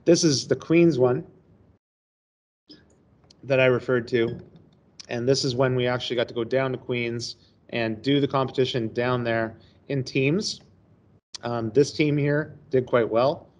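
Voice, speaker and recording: A man's voice: mid-range voice, steady tone, measured pace, pauses often, darkish tone. A middle-aged male teacher giving a casual, chatty screen-share presentation on a video call. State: hoarse, coughing. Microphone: webcam on a laptop.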